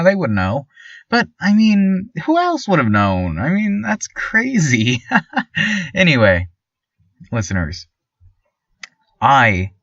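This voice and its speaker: A man playing a chipper, nervously obedient small-town radio host, stammering politely through a deadpan surreal monologue. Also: mid-range voice, dry recording, recorded from a distance, lo-fi sound, heavily acted. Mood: chatty, delighted. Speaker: local radio station host.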